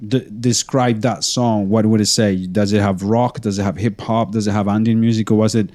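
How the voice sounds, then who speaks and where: A man's voice: lowish voice, fairly even intonation, normal speed, a little mellow. A man hosting a podcast, asking long, easygoing questions. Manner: easygoing, thoughtful, asks long rambling questions. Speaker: podcast host. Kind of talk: casual interview.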